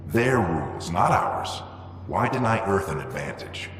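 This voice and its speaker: Game military officer: deep, firm, defiant, persuasive, in a reverberant space. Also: male voice, big pitch swings, a little slow, a bit bright, slight background noise, exaggerated voice. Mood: insistent, driven. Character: driven, defiant military officer and visionary father. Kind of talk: video game voice acting. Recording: echoey reverberant hall.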